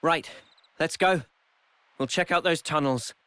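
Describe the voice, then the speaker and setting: A man's voice: fairly high pitch, unhurried, pushed and bright, smooth, no echo. A man acting an easygoing, decisive young squad member in a video game cutscene. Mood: upbeat.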